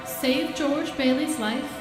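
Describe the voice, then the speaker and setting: A calm senior angel acted by a woman in a live radio play. She explains with gentle authority in a high voice. Loud music plays behind her in a roomy space. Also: slow. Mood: calm, guiding. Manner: composed, explanatory.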